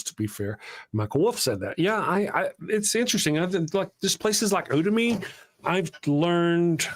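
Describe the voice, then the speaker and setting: A man hosting a live stream, chatting casually and rambling in a self-deprecating way. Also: a little high. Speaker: host of a live show.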